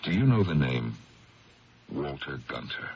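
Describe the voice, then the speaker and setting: An actor playing an upright, suspicious district attorney with a firm, blunt, questioning manner in a 1970s radio drama. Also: male voice, very deep voice, big pitch swings, unhurried, husky, exaggerated voice. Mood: troubled. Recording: muffled, noisy 1970s radio broadcast.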